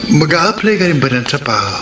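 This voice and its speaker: An actor playing a friendly, casual young job seeker in a radio drama. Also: male voice, a little high, sing-song, a little fast, loud music behind the voice. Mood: easygoing, friendly. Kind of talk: Filipino radio drama acting. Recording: echoey room, clear background noise.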